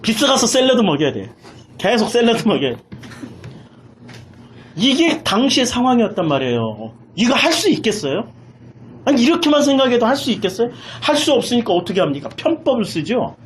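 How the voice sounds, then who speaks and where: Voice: mid-range voice, sing-song, a little fast, a little hiss or hum. A pastor preaching an impassioned sermon, emphatically repeating phrases and putting rhetorical questions in a raised voice.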